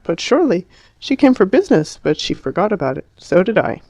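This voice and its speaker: A man's voice: high-pitched, sing-song, a little fast, darkish tone, no echo, voice pushed up. A man doing a puzzled Italian father.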